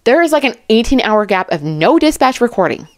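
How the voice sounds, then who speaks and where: Animated woman in casual, dry close commentary. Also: a little high, fairly quick, no room sound. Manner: animated, emphatic, informal, rambling. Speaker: true crime commentary YouTuber. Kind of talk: casual, chatty commentary to camera.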